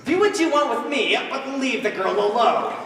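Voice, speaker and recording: A man's voice: very high-pitched, very animated, normal speed, gravelly, a crowd in the background, exaggerated voice. A teenage boy playing a wisecracking stand-up comic on trial in a school stage play, landing punchlines broadly. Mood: cheeky. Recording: echoey school stage hall, distant mic, faint noise.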